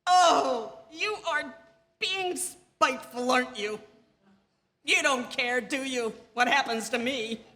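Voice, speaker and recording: High, bright female voice as a panicked, imperious wife, radio thriller, reverberant noisy stage. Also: slow, exaggerated voice. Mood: desperate, hysterical. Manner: imperious, breathless exclamations, frantic repetition. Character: nervous, demanding married woman, panicked and imperious. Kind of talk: theatrical radio suspense acting. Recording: live theatre stage, long reverb, noisy.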